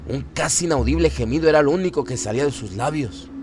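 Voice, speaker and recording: A man's voice: a little high, fast talker, darkish tone. A man narrating a scripted horror story for radio in a dramatic style. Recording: clear ambient noise behind voice. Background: ambient sound effects bed.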